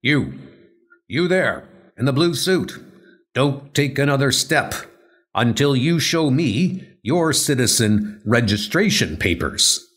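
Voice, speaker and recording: A man's voice: medium pitch, very animated, slow, fairly bright tone, exaggerated voice. A man voicing a bullying, thuggish police stormtrooper who barks out menacing orders. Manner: barking, menacing.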